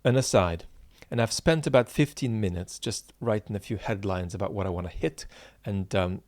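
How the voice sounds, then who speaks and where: A man in his forties, a political philosopher hosting his channel, talking unscripted to viewers in a halting, searching way with many fillers and restarts, earnestly stressing key points. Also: on the low side, a little mellow, no room sound. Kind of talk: unscripted solo talk to viewers.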